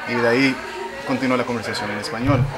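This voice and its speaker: A man from asks questions in a casual interview, musing aloud and restarting his sentences. His voice is of ordinary male pitch. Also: steady tone, quick pace, very noisy. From Puerto Rico. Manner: reflective, thinking aloud with restarts.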